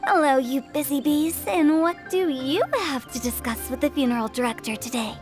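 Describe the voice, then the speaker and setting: High-voiced, playful young funeral director voiced by a woman. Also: moderate pace, lightly acted. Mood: teasing, playful. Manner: teasing, theatrical, wordy storytelling. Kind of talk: video game character dialogue. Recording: game music behind.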